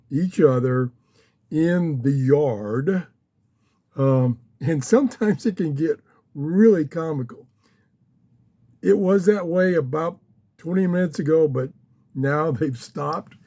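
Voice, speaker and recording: A man talks casually to his viewers in a soft-edged, mellow voice. He is very slow, breaking his thoughts into short phrases with pauses, like an unscripted explainer. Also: on the high side. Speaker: radio hobbyist host. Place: small home office.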